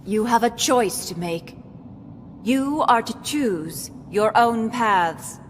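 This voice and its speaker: A mysterious female oracle from a video game, solemn and fairly high in pitch. She delivers each pronouncement very slowly, trailing long reverb. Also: expressive. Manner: slow, solemn, measured. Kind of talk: dramatic video game voice acting. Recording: long reverb, faint background noise.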